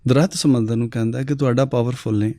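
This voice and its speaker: A man's voice: medium pitch, quick pace, dry recording, studio quality. A man telling moral stories on a talk show, acting out the lines of the figures in his tales. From Pakistan. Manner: animated storyteller who acts out the story's lines. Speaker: storyteller guest.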